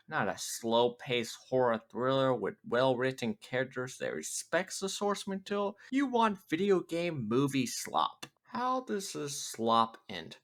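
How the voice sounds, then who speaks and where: Video review host, mid pitch with animated range, scripted narration, sarcastic and mocking.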